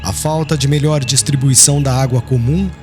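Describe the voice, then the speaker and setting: A man's voice: mid-range voice, brisk. A man with a Brazilian accent reading dramatic, solemn audiobook narration, with music playing behind him. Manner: solemn, expressive.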